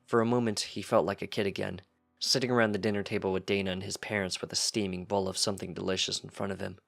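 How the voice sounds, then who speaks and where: A low male voice with a gravelly creak reads a story aloud. It is scripted fiction narration, with music behind it. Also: little pitch movement, fast talker, fairly bright tone. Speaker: fanfiction reader.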